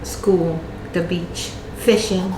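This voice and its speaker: Soft-spoken Puerto Rican mother. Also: lowish voice, fairly even intonation, extremely slow, background noise. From Puerto Rico. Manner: brief, soft-spoken answers. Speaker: guest, vlogger's mother. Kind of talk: casual chat. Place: home kitchen. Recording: roomy kitchen with music.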